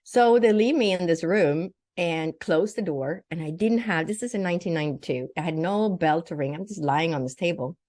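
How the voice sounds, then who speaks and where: A woman's voice: fairly low pitch, normal speed, hardly any pauses, a little mellow, no echo, studio quality. An older woman from Sweden tells a personal story in vivid detail, as a guest in an interview. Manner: vivid, detailed telling with acted-out quotes. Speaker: guest, naturopathic doctor and spiritual teacher.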